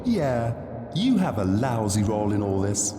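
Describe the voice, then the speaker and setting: A man's voice: fairly low pitch, very animated, a little slow, warm tone, a little hiss or hum. A man playing an insistent, argumentative figure in a theatrical drama, speaking expressively. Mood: challenging, wry. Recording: echoey hall, long reverb, faint music.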